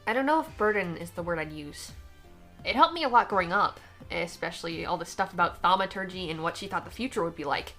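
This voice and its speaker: A woman's voice: on the low side, quick pace, fairly bright tone, soft background music, close to an everyday voice. A woman in a fan dub voicing a guarded, defiant young alien girl with casual, sarcastic phrasing. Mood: subdued, reflective. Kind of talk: fan-dub voice acting of comic dialogue.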